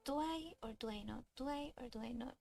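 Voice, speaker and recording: Woman, native Cantonese speaker, halting casual stream chat, faint music behind. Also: high voice, gravelly, darkish tone. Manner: hesitant, nervous, halting with restarts. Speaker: streamer drawing a webcomic.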